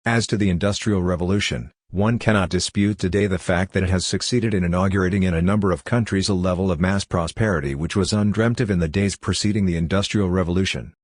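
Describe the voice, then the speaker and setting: A man's voice: sits low, fast, hardly any pauses, no room sound, studio quality. A man reading a formal scripted practice passage aloud as narration. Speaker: reader of exam practice passages.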